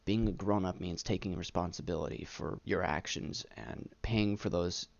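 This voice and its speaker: A young adult man talking candidly about himself as a vlogger, halting and stuttering on words, earnest and self-deprecating. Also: low-pitched, vocal fry, no room sound, dull audio. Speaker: YouTube vlogger. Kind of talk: candid personal monologue, casual chat. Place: small room indoors.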